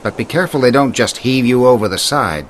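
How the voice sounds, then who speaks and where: An actor playing a laconic, loyal police sidekick in a period radio mystery drama. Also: male voice, mid-range voice, animated, brisk, natural voice. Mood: dutiful.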